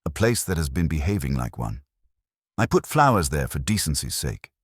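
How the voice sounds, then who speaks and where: A man's voice: rumbling low, sing-song, moderate pace, breathy edge, no room sound, studio quality, exaggerated voice. A man voicing a prim, guarded small-town woman in an audiobook mystery, with clipped, proper and tartly reserved speech. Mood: prim, defensive.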